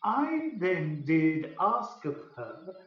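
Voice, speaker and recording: An actor playing a lordly, scheming fairy king who declaims Shakespeare verse in a measured, stately way for radio. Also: a little high, big pitch swings, exaggerated voice. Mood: commanding, satisfied. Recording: very echoey, distant, dull sound.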